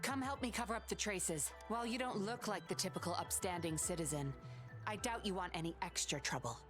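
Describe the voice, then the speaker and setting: A woman's voice: fairly low pitch, fairly quick, natural voice. Woman acting a sly, commanding mystery woman. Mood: brisk, secretive. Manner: controlled, condescending. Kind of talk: video game voice acting. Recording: music behind the voice.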